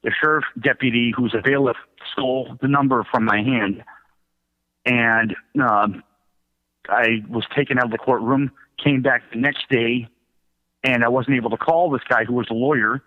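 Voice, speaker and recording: A middle-aged man telling his own story as a radio interview guest, in a halting, self-correcting way. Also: mid-range voice, steady tone. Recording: thin phone-like line.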